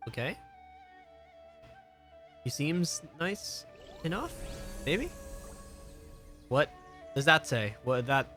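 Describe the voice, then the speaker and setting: A man's voice: a little high, very animated, extremely slow, pauses often, a bit bright. Male game streamer musing aloud over music. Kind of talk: casual live gameplay commentary.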